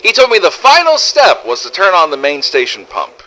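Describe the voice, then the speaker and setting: A man's voice: fairly high pitch, huge pitch swings, normal speed, bright, forceful tone, pitched above the performer's own voice, exaggerated voice, rough recording. A man playing a weary, sarcastic survivor of a zombie outbreak, narrating first-person audio logs in a scripted game-story style. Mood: matter-of-fact. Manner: sardonic, exasperated, swearing.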